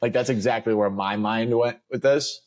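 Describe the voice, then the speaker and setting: A man's voice: mid-range voice, steady tone, normal speed. A young man co-hosting a podcast, chatting in a loose, upbeat way and leaning on filler words. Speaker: podcast co-host. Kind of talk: casual chat.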